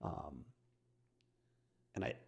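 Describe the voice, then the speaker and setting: A man co-hosting a casual podcast, talking reflectively and often checking in with his listeners. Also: drawn-out words. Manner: conversational, peppers talk with check-in questions. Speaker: podcast co-host.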